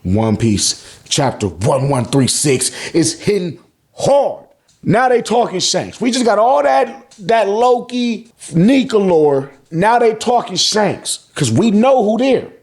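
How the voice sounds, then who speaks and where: A man with a fairly high voice hosts an animated, casual story recap. He talks in loose, profane slang and acts out reactions as he retells things. Words often come out in repeated bursts before the sentence moves on. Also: takes it slowly. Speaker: host of a manga review channel.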